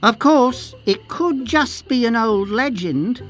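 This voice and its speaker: A woman's voice: fairly high pitch, lively intonation, slow, soft background music. An actress playing a cagey, knowing woman cartographer in a pirate video game, chatty and conspiratorial. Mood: wistful. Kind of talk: video game dialogue acting.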